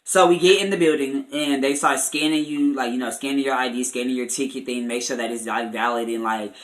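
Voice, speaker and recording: A young man with an American accent and a bright, forward voice tells a personal story to his viewers. He talks casually and chattily, leaning on slangy filler phrases. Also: mid-range voice, fairly even intonation, fairly quick, low-quality recording. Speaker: vlogger.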